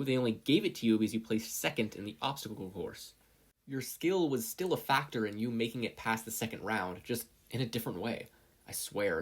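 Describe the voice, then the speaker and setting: A man's voice: on the low side, husky. A man voicing a teenage boy's smug, sensible inner conscience in a story reading, chiding and sardonic.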